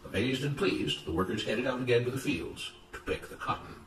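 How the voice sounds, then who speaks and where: A man narrates a scripted story at a brisk pace. His voice is bright and fairly high for a man. It is picked up slightly from afar in a roomy space. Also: fairly even intonation, recorded from a distance, slight background noise.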